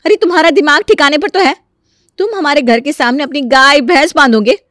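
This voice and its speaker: A woman voicing an indignant, sharp-tongued married landlady who scolds and exclaims in a comic story. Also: high voice, a little fast, no echo, studio quality. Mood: outraged. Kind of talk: Hindi comic story dramatization.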